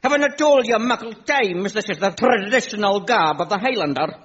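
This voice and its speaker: An actor playing a gloomy Scottish Highland servant in a kilt, talking in broad dialect in a comedy sketch. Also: male voice, animated, fairly quick. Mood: dour. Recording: dull sound with loud crowd.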